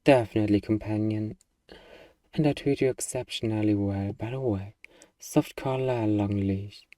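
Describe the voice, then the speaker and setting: A man's voice: lowish voice, fairly even intonation, normal speed, dark tone, no echo, recorded from a distance, lightly acted. A man playing an eager, bargaining demon who craves a cuddly pet, in a playfully earnest, wheedling ASMR roleplay monologue. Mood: defensive, reassuring.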